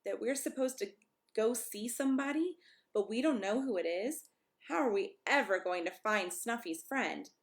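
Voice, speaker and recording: A woman's voice: on the high side, expressive, normal speed. A woman voicing a fussy, literal-minded male puppet pal from a storybook in an emphatic manner. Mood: exasperated.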